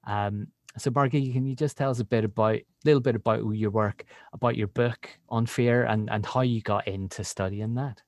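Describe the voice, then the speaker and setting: A man's voice: medium pitch, steady tone, moderate pace, hardly any pauses, a little mellow, no room sound. Casual male podcast interviewer, dry close sound.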